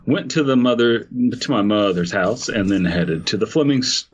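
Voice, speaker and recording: A man's voice: on the low side, expressive, moderate pace, muffled recording. A man co-hosting a podcast, reading a story aloud and breaking off into crude jokes. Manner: crude joking, laughs mid-sentence while reading aloud.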